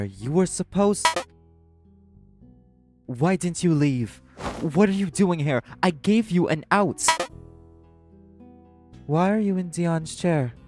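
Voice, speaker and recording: Man acting guarded socialite crime lieutenant over music. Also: on the high side. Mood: wary, startled. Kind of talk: dramatic audio roleplay acting.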